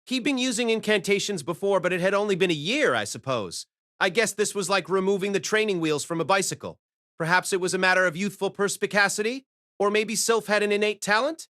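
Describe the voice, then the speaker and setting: A dry, close recording of a man as a young mage telling his own story. His voice sits fairly high, with a wry, conversational way of explaining things in an audiobook drama. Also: fairly quick, a bit bright, no echo. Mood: wry narration. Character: young boy mage narrating his reincarnated life, wry and self-deprecating. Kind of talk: first-person audiobook narration with acted dialogue.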